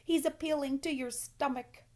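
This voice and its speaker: A woman voicing a bossy female farm goose who shouts loud, repeated orders in a high, insistent voice. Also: fairly even intonation, normal speed, close to an everyday voice. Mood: pleading.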